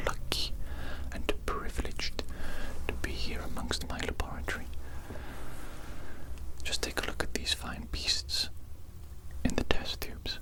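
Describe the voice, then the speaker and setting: A performer voicing a smug, pompous evil mad doctor in a breathy, deliberate whisper for an ASMR roleplay. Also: lightly acted, low-quality recording. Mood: smug, boastful. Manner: deliberate, repetitive, pompous villain musing. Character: smug evil mad doctor, condescending yet softly patient.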